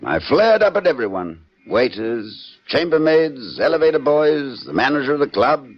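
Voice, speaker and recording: A man's voice: medium pitch, big pitch swings, normal speed, dry recording. An actor playing a jittery, unraveling man in an old radio suspense drama, with intense, clipped theatrical delivery. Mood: grim, weary. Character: jittery, unraveling man confessing to a police lieutenant. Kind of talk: 1940s-50s radio suspense acting. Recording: dull old radio recording, faint music.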